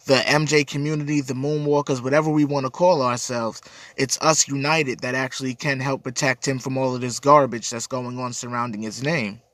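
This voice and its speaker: A man hosts a casual livestream with a stuffy, congested voice, since he is under the weather with a cold. He talks earnestly and rambles, repeating words as he answers viewers. His pitch sits in an ordinary middle range, and the sound is close and dry with no room echo. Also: fairly even intonation, fairly quick, a bit bright. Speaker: livestream host. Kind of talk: casual livestream chat reading viewer comments.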